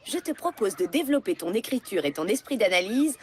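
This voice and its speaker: A woman's voice: mid-range voice, very animated, a little fast, a little hiss or hum, close to an everyday voice. A woman playing a kindly schoolteacher who coaxes and encourages her pupils in a cartoon. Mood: coaxing. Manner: gentle, coaxing. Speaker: teacher. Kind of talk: cartoon dub.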